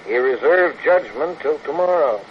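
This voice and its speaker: A man plays a grave, cautious legal adviser with a slightly high voice. It is old-fashioned radio acting on a dull recording. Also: lively intonation, normal speed, background noise, lightly acted. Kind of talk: 1950s radio drama acting.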